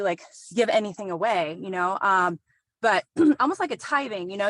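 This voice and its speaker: A woman's voice: medium pitch, a bit bright, dry recording. Earnest woman talking from a car. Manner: earnest, many fillers, clears throat. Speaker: group participant, single mother. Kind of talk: casual sharing in a video call.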